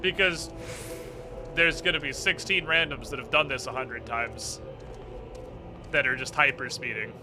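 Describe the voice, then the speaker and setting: A man's voice: medium pitch, steady tone, measured pace, pauses often. A man giving casual, joking gaming commentary as a streamer, with some swearing. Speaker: game streamer. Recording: music behind the voice. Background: game music.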